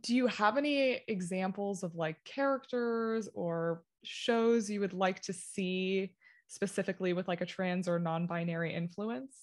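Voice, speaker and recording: A woman hosts a relaxed podcast chat, laughing along with the guest and chiming in eagerly. Her voice sits at a middle pitch. She asks questions in a loose, conversational way. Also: unhurried. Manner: warm interviewer, laughs along, enthusiastic interjections. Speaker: podcast host. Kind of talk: casual chat.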